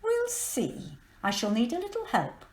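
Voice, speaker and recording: A woman voicing a wicked, bossy pantomime witch for a children's storybook, loud and theatrical with drawn-out commands. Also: sits high, huge pitch swings, roomy sound, voice pushed up, full character voice. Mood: scheming. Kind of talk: children's storybook character reading.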